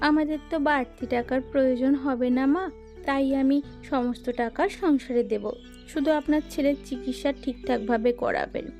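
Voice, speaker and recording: A dutiful village daughter-in-law voiced by a woman from a cartoon moral tale. Her high voice is earnest and submissive. A little music runs underneath. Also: moderate pace, vocal fry, dark tone. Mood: pleading, earnest.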